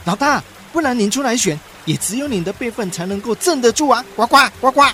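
A high-pitched little frog sidekick in a radio play. The frog whines and pleads anxiously, tacking on croaks, over soft music. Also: female voice, animated, fast. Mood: urging. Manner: whining, croaking at ends of speeches. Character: anxious, pleading frog underling urging the boss to run. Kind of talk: radio drama acting.

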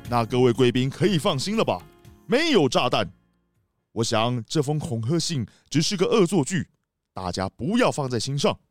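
Man playing a grand, animated city mayor. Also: mid-range voice, normal speed, no echo, clean studio sound, faint music behind, lightly acted. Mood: firm, reassuring. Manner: grand, reassuring host's address. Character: jovial, reassuring city mayor hosting a cruise. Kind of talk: children's mystery audio drama.

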